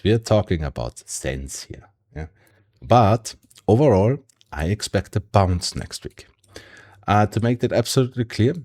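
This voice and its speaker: A man with a German accent and a very low voice walks through price charts in a relaxed, conversational way. He speaks English as a second language, with frequent ums and a habit of ending points with a questioning "yeah?". He sounds like a regular host explaining his method to viewers. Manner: tag questions and fillers, yeah, um. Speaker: stock market YouTuber. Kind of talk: casual screen-share market analysis.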